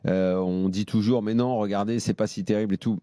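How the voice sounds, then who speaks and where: An emphatic man pundit holds forth in a heated studio debate, his mellow, darkish voice pushing point after point and restarting sentences as he goes. The sound is close and dry, with no room around it. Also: fairly low pitch, steady tone, a little fast, dry recording. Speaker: football pundit. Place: radio and TV studio.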